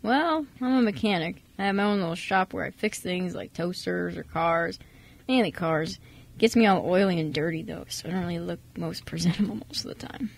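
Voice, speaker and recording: A husky, creaky voice from a woman playing a kindly male mechanic in his thirties for a radio play. He talks casually and a little awkwardly, hesitant and self-effacing. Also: fairly low pitch, brisk. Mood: friendly, casual. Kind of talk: amateur radio drama dialogue.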